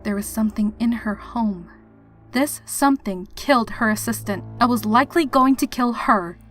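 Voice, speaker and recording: A woman's voice: fairly high pitch, moderate pace, fairly bright tone. A woman reading a horror story aloud as a scripted, dramatic narrator. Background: background music.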